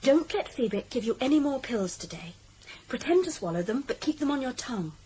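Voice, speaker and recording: A high, very animated British voice a resolute woman probation officer in a radio play. She is brisk and direct, firing questions and giving orders over faint background noise. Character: determined, outspoken woman probation officer planning a rescue. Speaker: actor in a radio drama. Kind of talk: British radio drama acting.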